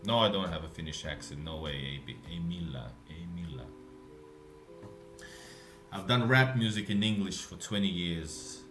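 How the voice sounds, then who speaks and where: A man with a Russian accent, live streaming and chatting casually with viewers, speaking in a deliberately lazy, relaxed way. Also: sits low, roomy sound, faint music behind. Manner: intentionally lazy, relaxed way of talking.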